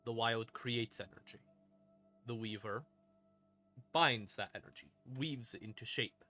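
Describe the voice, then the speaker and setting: A man streams a casual lore explainer in a bright, forceful voice. He takes his time, breaking thoughts into short, theatrical phrases with deliberate pauses. Faint music plays behind him. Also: on the low side. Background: ambient music in the background.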